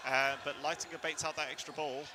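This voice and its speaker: A man doing live play-by-play commentary at an indoor court, talking into a headset in the commentary box, often correcting himself and rising with excitement at big plays. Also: on the high side, crowd noise. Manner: rapid play-by-play with self-corrections and sudden excited rises. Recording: noisy hall with ball bounces.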